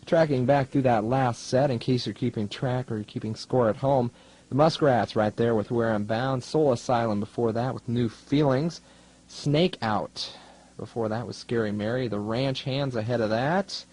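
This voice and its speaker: A man's voice: mid-range voice, a little mellow, breathy edge, low-quality recording. A young man working as a student DJ, talking casually between songs on college radio in a wry, rambling, self-deprecating way. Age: young adult. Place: radio studio.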